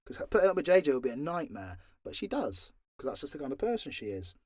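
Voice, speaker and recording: A man's voice: a little high, sing-song, fast talker, a little mellow, no room sound, studio quality. A British man chatting casually and off the cuff as he answers fan questions, with a blunt, sweary, jokey manner. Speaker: audio drama creator and voice actor answering fan questions. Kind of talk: casual unscripted Q&A chat.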